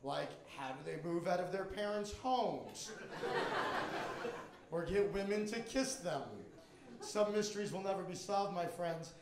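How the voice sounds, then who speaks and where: Stand-up comic, male, middle-aged, American, very high-pitched, roomy club sound with audience. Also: unhurried, a crowd in the background. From Miami, Florida, United States. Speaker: stand-up comedian and magician. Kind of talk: stand-up comedy routine. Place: indoor comedy club stage. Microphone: handheld microphone. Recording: echoey comedy club with audience. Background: live audience.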